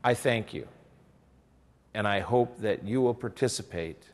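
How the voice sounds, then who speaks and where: A man's voice: on the low side, measured pace. Older man, bishop, earnest pastoral appeal, church reverb. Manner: earnest, exhorting, rising and falling emphasis. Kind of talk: pastoral address to camera. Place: church interior by stained glass windows.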